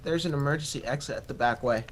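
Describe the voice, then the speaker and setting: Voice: mid-range voice, fast talker, a little hiss or hum, close to an everyday voice. An actor playing a skeptical, jumpy, sarcastic runaway teenager in an audio drama, with an incredulous, questioning delivery. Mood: uneasy. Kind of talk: audio drama acting, conversational.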